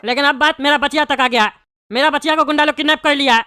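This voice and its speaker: A high-voiced performer playing a hot-tempered, scheming bald father in jail, scolding in Bihari-style Hindi for a comedy cartoon. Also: steady tone, fast talker, bright, forceful tone, no room sound, clean studio sound. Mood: insistent. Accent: eastern Indian (Bihari-style) Hindi. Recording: clearly noisy background.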